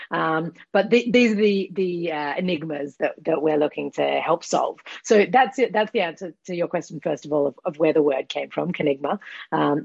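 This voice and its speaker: A woman's voice: on the low side, gravelly, slightly rough, no room sound, dull audio. A woman with an Australian accent, a podcast guest who answers thoughtfully with plenty of fillers and restarts. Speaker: guest, company CEO. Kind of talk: casual podcast interview. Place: home in Israel.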